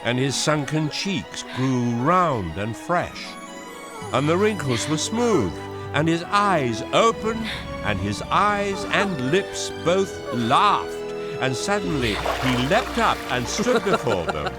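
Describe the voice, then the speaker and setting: An actor playing a grave, wondering storybook narrator, telling a radio drama in a measured, expressive way. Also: male voice, a little high, music in the background. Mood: growing wonder.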